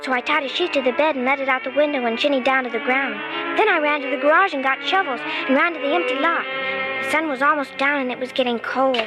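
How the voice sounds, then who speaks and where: A woman's voice: sits high, fast talker, over music, lightly acted. An actress playing an earnest, determined young schoolgirl with an urgent, pleading manner in an old-time radio drama. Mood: tense narrating. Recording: noisy vintage radio drama recording.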